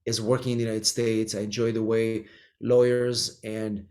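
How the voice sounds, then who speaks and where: Israeli-born man answering at a measured pace. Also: lowish voice, little pitch movement, a little mellow. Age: middle-aged. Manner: thoughtful, with frequent ums and restarts. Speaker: immigration attorney, guest. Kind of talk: conversational interview answers.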